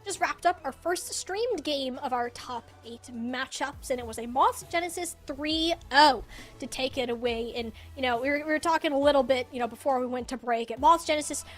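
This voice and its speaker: A woman's voice: high-pitched, moderate pace. A woman hosting a live esports broadcast and interviewing in a chatty, casual way. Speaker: esports desk host.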